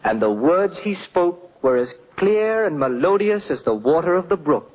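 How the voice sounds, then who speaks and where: A man narrates like a 1950s radio dramatist, poetic and reverent. His voice sits fairly high and moves in wide, expressive sweeps. The recording is dull and vintage, with music underneath. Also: moderate pace. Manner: poetic, reverent, theatrical delivery.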